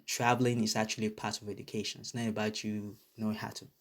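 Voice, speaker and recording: A man's voice: on the low side, flat delivery, quick pace. A man from Nigeria with a Nigerian accent, ranting bluntly to camera in a casual vlog, swearing often and repeating his points for emphasis. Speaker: vlogger. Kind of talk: casual opinion rant to camera.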